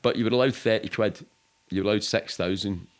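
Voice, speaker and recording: A Scottish man in middle age talks in a fairly low-pitched voice. He tells his stories as a podcast guest would, casually and loosely, rambling between details. The delivery is sweary and matter-of-fact. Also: brisk. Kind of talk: casual storytelling in an interview.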